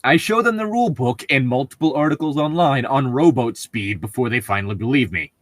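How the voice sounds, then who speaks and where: A man's voice: medium pitch, expressive, a little fast, no echo. A man co-hosting a podcast, reading a story aloud in a casual, chatty way and often chuckling. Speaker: podcast co-host.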